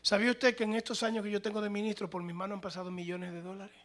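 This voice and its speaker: A male pastor preaching a sermon, moving between plain conversational talk and loud, shouted passages. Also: high voice, flat delivery, fast.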